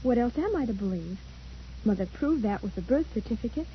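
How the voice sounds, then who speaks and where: A woman's voice: a little high, expressive, brisk, lightly acted. An actress playing an impressionable young woman, hesitant and fearful, in an old-time radio drama. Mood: earnest, questioning. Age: young adult. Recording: muffled, noisy old radio recording.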